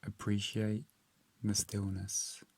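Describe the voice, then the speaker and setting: A man with a low, very even voice leads a guided meditation in a calm, gentle manner. He speaks very slowly, leaving long pauses between measured phrases. The sound is close and dry. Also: creaky, dry recording.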